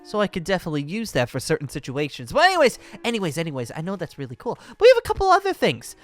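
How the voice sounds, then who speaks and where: A man's voice: high voice, huge pitch swings, rapid-fire. A man playing an exuberant, boastful dragon-slayer wizard in a Minecraft roleplay, speaking in a pompous, genteel and theatrical manner. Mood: showy, explaining. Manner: theatrical, flamboyant, cackling, pompous. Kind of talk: improvised Minecraft fantasy roleplay acting.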